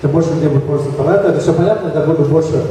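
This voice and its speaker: A man with a Kyrgyz accent, slightly hoarse from a cold, giving a halting conference talk with slides. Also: a little high, mellow, slight background noise. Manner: halting, many fillers and restarts. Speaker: conference speaker. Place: large echoey hall. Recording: echoey hall from far off.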